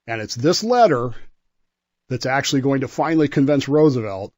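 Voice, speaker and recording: A man with a medium-pitched voice tells history in a conversational way. He is enthusiastic and emphatic, sometimes doubling back on his words. The sound is close and dry, with little room to it. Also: expressive, moderate pace, a little mellow, no echo. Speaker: history segment guest.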